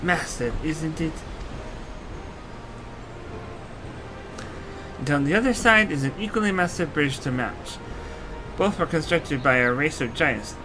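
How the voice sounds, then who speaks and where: A man's voice: a little high, lightly acted, poor audio. A man voicing a proud, eager young princess from a fantasy game, explaining her homeland earnestly. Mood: explanatory. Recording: game music under the voice.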